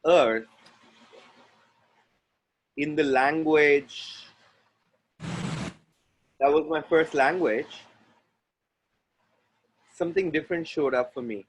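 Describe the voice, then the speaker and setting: A man from India with an Indian accent, facilitating an online group call from outdoors near the ocean. He speaks in deliberate, chant-like repetitions, guiding listeners through clearing phrases. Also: a little high, rough recording.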